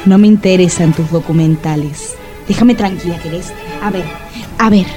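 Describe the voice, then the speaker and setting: A woman's voice: lowish voice, normal speed, music in the background, natural voice. An actress playing a hot-tempered, distraught Argentine mother in a comic radio drama, with a melodramatic, indignant delivery. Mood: irritated, obsessed. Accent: Argentine Rioplatense.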